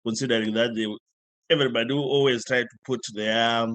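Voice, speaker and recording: A man from Zimbabwe, a nurse, answering interview questions thoughtfully with hesitant pauses. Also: mid-range voice, fairly even intonation, fairly bright tone, no echo.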